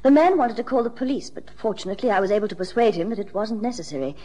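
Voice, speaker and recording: A woman's voice: medium pitch, lively intonation, rapid-fire, dark tone, close to an everyday voice. An actress playing a proud, defiant married society woman with a composed, formal manner in a radio drama. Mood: relieved, composed.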